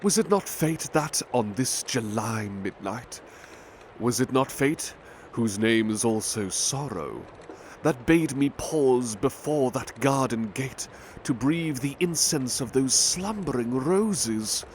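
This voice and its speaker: A man recites poetry very slowly, lingering over each line in a gentle, expressive voice. His pitch sits in a middle range, and a faint background noise hangs beneath the recording. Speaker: ASMR butler roleplay reader. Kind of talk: slow ASMR poetry reading.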